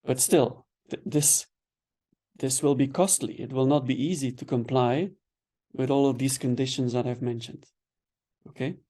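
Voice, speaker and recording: A man's voice: medium pitch, moderate pace. A man giving an online lecture, explaining patiently and checking in with "right?" as he goes. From Belgium. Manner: relaxed, explanatory, frequent "right?" tags and repeated words. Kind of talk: online webinar lecture answering questions.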